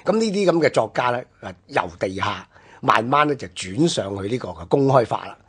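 A male radio commentator with a Hong Kong Cantonese accent talks through history without a script. His voice is slightly rough and husky at an average pitch. He phrases haltingly and discursively, stretching the particles that close his phrases. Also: expressive, fairly bright tone. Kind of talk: unscripted explanatory history talk.

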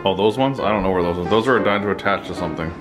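American man, thirties, low voice, casual kitchen co-host, guitar music clearly behind. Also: rapid-fire. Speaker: co-host helping in cooking vlog. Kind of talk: casual chat. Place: home kitchen, indoors.

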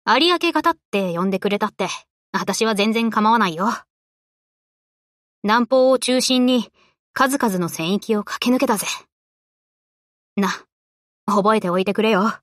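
An actress voicing a brash, cocky but loyal young tomboy warship girl in an anime game, with rough, boyish, casual speech. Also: fairly high pitch, fairly bright tone, no echo, studio quality. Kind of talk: Japanese anime game character voice lines.

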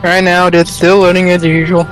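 A man's voice: high voice, monotone, normal speed, over music, low-quality recording. A man chatting casually during a team game, reporting his moves in a matter-of-fact way. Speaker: gamer in a group online horror game. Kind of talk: casual gaming chat.

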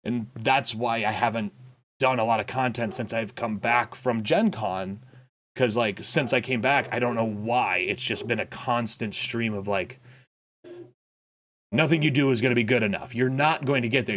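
A young man talking candidly in a personal vlog update, reflective and halting, with frequent fillers and restarts, recorded indoors in a small home room on a desk microphone. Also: medium pitch, a bit bright, a little hiss or hum. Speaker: content creator. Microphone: boom-arm desk mic, wearing headphones.

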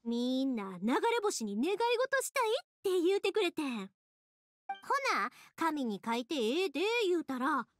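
A woman's voice: high-pitched, big pitch swings, normal speed, no echo. A woman playing a cheerful, earnest young firework-maker in an anime game, speaking expressively with a Kansai Japanese accent. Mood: excited, cheerful. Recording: game music behind the voice.

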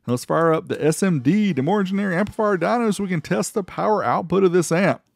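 A man's voice: high-pitched, fast, vocal fry, no room sound, studio quality. A middle-aged American man giving a casual product review voice-over, with playful, showy emphasis. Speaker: host of car audio amplifier review channel. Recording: music faintly behind the voice. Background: background music.

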